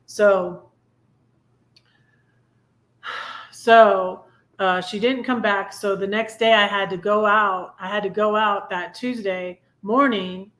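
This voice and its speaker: A woman hosting a casual live chat, telling personal stories in a halting, rambling way. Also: mid-range voice, steady tone, fairly bright tone. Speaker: live stream host.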